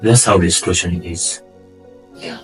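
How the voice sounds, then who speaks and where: Deep-voiced Ugandan man, distant mic. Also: measured pace, faint music behind. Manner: earnest, often trails off quietly. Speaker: guest, asylum seeker. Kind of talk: interview answers.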